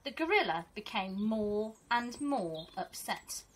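A middle-aged woman reads a children's story aloud like a teacher, expressive and deliberate, outdoors. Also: medium pitch. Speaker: first school teacher reading to pupils. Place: small fenced garden, outdoors. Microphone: camera a few metres away. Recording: outdoor camera audio, faint birdsong and noise.

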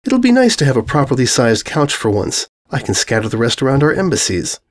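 A man's voice: medium pitch, wildly swooping pitch, a little fast. Man as a composed, regal elder pony mare. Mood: delighted.

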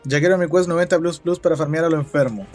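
A man's voice: a little high, quick pace, a little mellow, soft background music. A young man with a Chilean Spanish accent, chatting casually and joking as he reads chat messages during a game stream.

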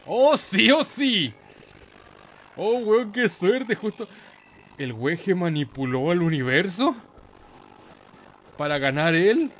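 A man with a Chilean Spanish accent giving casual, excitable let's play commentary in a playful, slangy, exclamatory manner. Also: sits high, slow, pauses often, a little hiss or hum. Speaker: gaming YouTuber, host.

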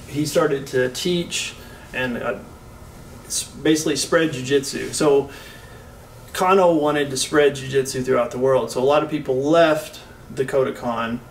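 A middle-aged man explains martial arts history at a measured pace, calm and informative. His mid-pitched voice sounds a little distant from the mic, with faint hiss behind it. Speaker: jiu-jitsu historian guest. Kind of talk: casual explanatory talk.

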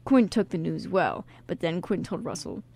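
A woman's voice: on the low side, big pitch swings, normal speed, gravelly, darkish tone. A woman hosting a radio show, talking in a casual, jokey, informal way. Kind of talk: casual radio chat and reading a synopsis aloud.

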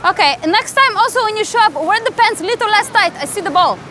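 A woman's voice: extremely high pitch, animated, fast, pushed and bright, heavily acted. A woman playing a pushy Italian male pasta company boss in broken English with a put-on Italian accent. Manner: broken English, bossy and blunt. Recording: noisy background.

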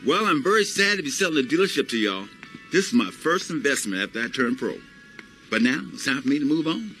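An actor playing a smooth-talking retired male sports star, breezy and showy, in a video game. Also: medium pitch, very animated, fairly quick, bright, forceful tone, soft background music. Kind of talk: video game cutscene acting.

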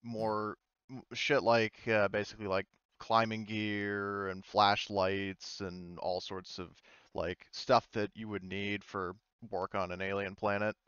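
A man's voice: on the low side, flat delivery, slow pace, fairly bright tone, clean tone, no echo, highs cut off. A man running a tabletop game as game master, narrating casually and off the cuff in colloquial language.

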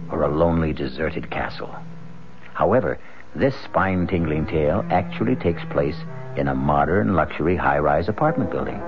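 A very low-voiced American man narrates a vintage radio mystery. His delivery is deliberate and theatrical. The sound is a muffled old broadcast with music behind him. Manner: deliberate, theatrical, suspenseful storytelling. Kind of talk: 1970s radio drama narration.

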